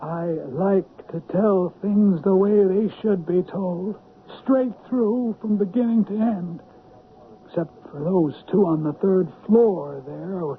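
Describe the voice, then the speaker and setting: High-voiced, talkative old manservant. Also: male voice, takes it slowly. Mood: wistful. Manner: rambling, folksy storyteller. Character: loyal, talkative old manservant recounting a tragedy. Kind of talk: 1970s radio drama acting. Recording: tinny, noisy old radio broadcast recording.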